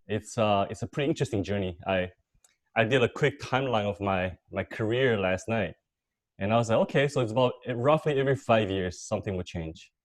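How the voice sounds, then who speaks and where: Low-voiced man telling his career story. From Taiwan and the United States. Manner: relaxed, filler-heavy storytelling. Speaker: guest speaker. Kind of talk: presentation on a video call. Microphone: video call.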